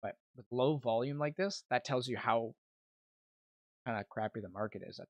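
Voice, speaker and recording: Young guy streaming, casual running commentary, medium pitch, close dry sound. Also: normal speed, no echo, muffled recording. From Canada. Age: young adult. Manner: informal, thinking aloud. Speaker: livestream host, amateur day trader. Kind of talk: casual live trading commentary.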